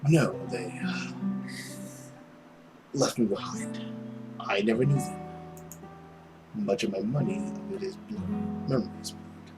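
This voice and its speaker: Visual novel role: withdrawn orphan man, soft and breathy, melancholy, played by a male reader, music clearly behind. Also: lowish voice, lively intonation, measured pace, pauses often, voice pushed down, low-quality recording. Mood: melancholy, hesitant. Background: guitar music.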